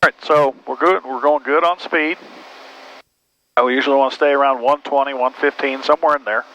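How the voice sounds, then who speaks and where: A middle-aged American man, a pilot, calmly explaining things in casual cockpit talk and radio calls. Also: medium pitch, fairly quick. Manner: calm, explanatory. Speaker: pilot and flight mentor. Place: small airplane cockpit in flight. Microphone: aviation headset. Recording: muffled aviation headset, cockpit noise.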